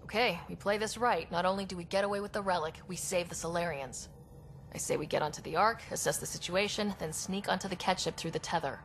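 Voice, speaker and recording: An actress playing an earnest, determined young expedition leader in a video game, supportive and decisive. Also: female voice, lowish voice, quick pace, close to an everyday voice. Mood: resolute. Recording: long reverb, faint music behind.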